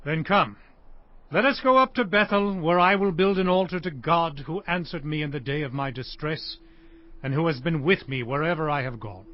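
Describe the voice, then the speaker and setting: An actor playing an aging, anxious, commanding patriarch in a dramatized scripture audiobook, speaking emphatically. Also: male voice, on the high side, normal speed, lightly acted. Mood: solemn command. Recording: muffled, band-limited audio.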